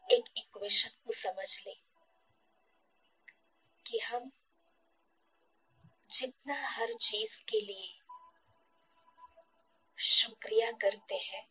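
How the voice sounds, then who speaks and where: A woman from India guiding a meditation, in short, measured phrases with pauses between them. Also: a little high, pushed and bright, husky, low-quality recording. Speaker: guided meditation teacher. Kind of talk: slow guided meditation. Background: background music.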